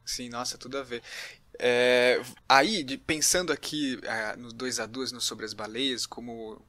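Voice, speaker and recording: A man's voice: mid-range voice, fairly even intonation, a little slow, sharp tone. A Brazilian man hosting a casual podcast interview, informal and thinking aloud as he goes.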